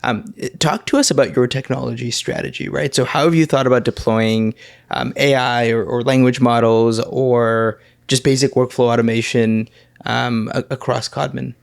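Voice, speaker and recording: A man's voice: medium pitch, fairly even intonation, normal speed, gravelly. A man with a mid-range voice hosting a podcast interview. He asks long questions hesitantly, with frequent ums and restarts. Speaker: podcast host.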